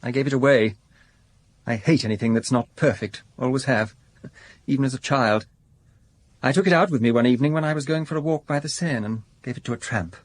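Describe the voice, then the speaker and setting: An actor playing a fastidious, genteel architect-decorator in his thirties in a radio drama, speaking precisely and with stiff politeness. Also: medium pitch, natural voice. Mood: guarded, precise. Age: 30s.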